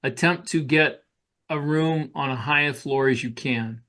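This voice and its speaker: A man's voice: medium pitch, a little slow, bright, forceful tone. A man co-hosting a podcast, talking in casual, conversational chat. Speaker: podcast co-host.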